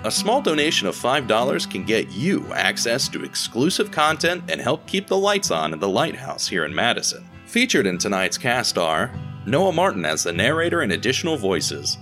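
A man reading a scripted story as narrator, in a measured, dramatic way with deliberate pauses. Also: mid-range voice, fairly bright tone. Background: music.

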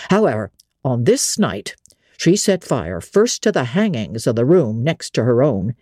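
A woman reads aloud as a gossipy old country manservant from a classic novel, his voice dropped low and husky. He drawls in rustic old-fashioned dialect, his pitch rising and falling with lively swings as he spins a grim tale in a storybook reading. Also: dry recording, studio quality, heavily acted. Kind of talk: dramatic audiobook reading.

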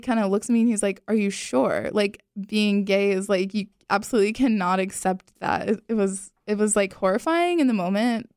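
A young woman from the rural Carolinas telling personal stories in casual talk, pausing often with fillers. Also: medium pitch, creaky, a little mellow, clean tone, no room sound, studio quality. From rural North and South Carolina, US. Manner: hesitant, many filler pauses. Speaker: discussion participant.

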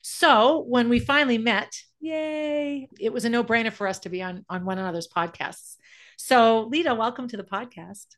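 A woman's voice: fairly high pitch, brisk, fairly bright tone. A woman hosting a podcast, talking casually and with open enthusiasm as she introduces and chats with a guest. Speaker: podcast host. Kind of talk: warm-up intro and casual interview chat.

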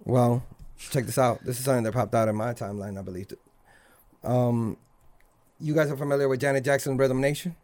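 A man with a mid-pitched voice co-hosts a casual podcast. He is laid-back and swears freely, drawing his words out. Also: steady tone, a little mellow. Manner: laid-back, profane, drawn-out delivery. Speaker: podcast co-host. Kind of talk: casual chat.

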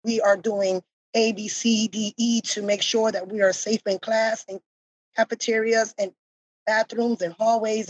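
A mother on a discussion panel talks with earnest gratitude, pausing often to find her words. Her voice is slightly breathy, and the sound is dry and close, with no room around it. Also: mid-range voice, dry recording, low-quality recording. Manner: earnest, grateful, thinks aloud with pauses. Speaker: parent panelist.

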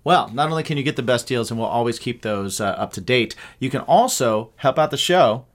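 A man's voice: mid-range voice, expressive, brisk. A man co-hosting a podcast, joking around playfully while he promotes the show's site. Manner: jokey, playful banter. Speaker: podcast co-host. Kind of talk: casual chat.

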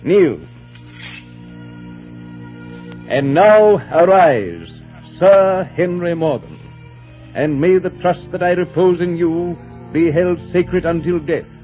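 A regal, wry king from a 1940s radio play. His fairly high voice moves freely up and down, mellow and a little husky, as he teases with stately deliberation. The old broadcast sounds muffled. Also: animated, faint music behind. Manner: pompous, deliberate, teasing, with dry pauses. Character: wry, regal English king, shrewd and amused. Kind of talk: 1940s American radio drama acting.

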